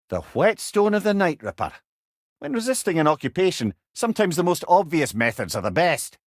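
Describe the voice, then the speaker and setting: A man's voice: a little high, dry recording, studio quality. A man voicing a wise, witty old Scottish advisor in a video game, with a wry, eloquent and rueful manner. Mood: storytelling, wry. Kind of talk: video game character dialogue.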